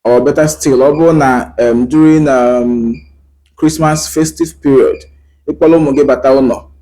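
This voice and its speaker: Igbo-accented male teacher, mid-pitched, mellow tone, earnest and code-switching. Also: steady tone, low-quality recording. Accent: Nigerian, Igbo. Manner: rhetorical questions and pauses with fillers. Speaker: language teacher. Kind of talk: talking to camera about language.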